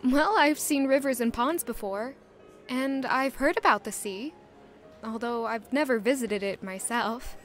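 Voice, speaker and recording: Young female castle servant played by a woman, slightly high-pitched, nervously musing storyteller for a fantasy audio drama. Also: measured pace, faint music behind, close to an everyday voice. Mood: reflective. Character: young female castle servant, anxious, curious, chatty narrator. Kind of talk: first-person audio drama narration and dialogue.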